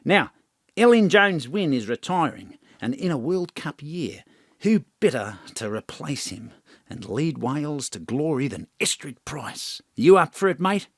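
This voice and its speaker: A man's voice: on the high side, big pitch swings, measured pace, husky, no room sound, studio quality, heavily acted. An actor playing a hearty, blunt and effusive male rugby coach with a blustery, matey manner in an audio drama.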